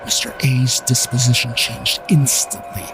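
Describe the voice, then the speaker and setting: Casting: male storyteller for audio drama, medium pitch, first-person narration over a prominent music bed. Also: fairly even intonation, a little slow.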